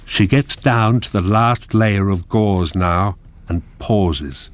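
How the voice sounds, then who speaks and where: A man narrating a radio drama, reading scripted scene descriptions in a measured, descriptive way. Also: fairly low pitch. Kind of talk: scripted screenplay narration in present tense.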